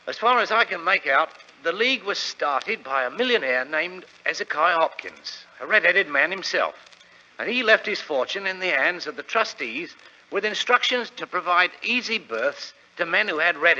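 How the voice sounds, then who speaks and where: An actor playing an eager, wheedling young shop assistant, deferential and persuasive, in 1940s radio drama style. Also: male voice, high voice, normal speed, full character voice. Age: young adult. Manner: excitable, obsequious, persuasive. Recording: dull vintage radio recording.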